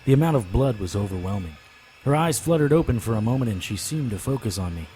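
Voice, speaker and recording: An actor playing a weary, lovestruck occult investigator who narrates his own case in a wry, measured way for an audio drama. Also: fairly low pitch, animated, warm tone, a little hiss or hum, close to an everyday voice. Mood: somber, measured. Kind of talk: first-person noir audio drama narration and dialogue. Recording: music under the voice.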